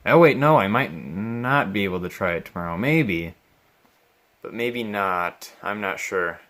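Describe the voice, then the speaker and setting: A man with a fairly low but bright, forward voice talks very slowly. He thinks aloud in halting phrases, giving casual, unscripted commentary like a hobbyist at a repair bench. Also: lively intonation. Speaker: hobbyist repairing a vintage radio on video.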